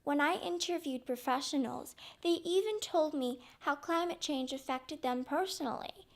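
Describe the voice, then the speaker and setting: A woman hosting a documentary, presenting in an animated, expressive way. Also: sits high, normal speed. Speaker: documentary host. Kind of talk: presenting narration.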